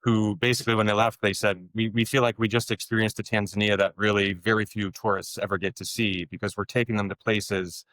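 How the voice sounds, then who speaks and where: A young man with a lowish voice talks through his answers in a relaxed, conversational way. He often restarts a phrase while he gathers his thoughts. The sound is close and dry. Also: brisk, hardly any pauses, dry recording. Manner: easygoing, thinks aloud with many restarts. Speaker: tour business co-founder.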